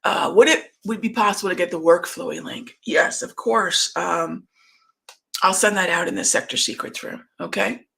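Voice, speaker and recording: A middle-aged woman hosting a live market show, chatting casually and reading out viewer questions in a voice that is going hoarse. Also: on the low side, moderate pace, a bit bright. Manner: conversational, reads viewer comments aloud, many fillers. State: losing her voice, throat clearing. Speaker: trading show host.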